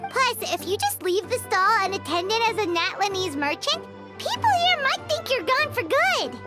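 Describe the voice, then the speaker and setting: A woman voicing a small, chatty, doubtful childlike girl fairy in a video game, with a squeaky voice. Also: sing-song, normal speed. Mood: chatty, explaining. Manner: talks of self in third person. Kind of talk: video game cartoon-style acting. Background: game music.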